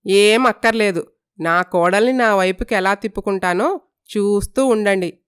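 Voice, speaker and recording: A woman voicing an excited, doting middle-aged mother-in-law in a cartoon story, gushing and fussy. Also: medium pitch, normal speed, clear, resonant tone, close to an everyday voice. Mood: defensive.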